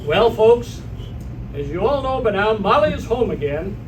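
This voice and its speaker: A man's voice: high-pitched, very animated, moderate pace. A man playing an old-time radio announcer, reading scripted introductions and sales copy on stage. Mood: presenting. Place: live theater stage performance. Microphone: far from the microphone. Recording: noisy, distant stage recording.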